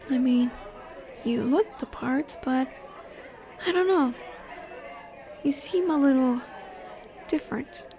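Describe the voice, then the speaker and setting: Faint bar chatter sits behind a very high female voice. It is a woman performing a flirtatious werewolf alpha in a roleplay audio drama, sure of herself and keen to take control. She speaks slowly and teasingly, with a sultry, dominant confidence aimed straight at the listener. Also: darkish tone. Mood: teasing, flirty. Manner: teasing, sultry, unhurried with drawn-out pauses.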